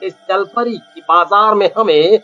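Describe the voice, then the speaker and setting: Greedy cartoon thief, male actor: exclamatory, bright forceful tone, slightly dull recording. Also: high voice, measured pace, faint music behind. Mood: amazed, greedy. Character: greedy, crafty male village thief, the accomplice. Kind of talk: cartoon voice acting. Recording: muffled lo-fi audio.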